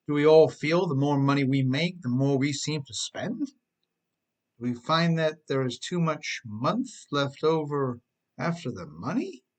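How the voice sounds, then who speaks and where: A man's voice: fairly high pitch, expressive, measured pace. A man narrating his own self-help book in a wry, conversational way, often putting rhetorical questions straight to the listener. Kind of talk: audiobook narration with conversational asides.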